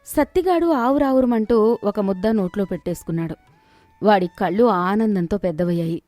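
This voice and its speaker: A woman giving scripted narration for an animated moral story in a steady storytelling manner. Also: mid-range voice, moderate pace, no echo, soft background music.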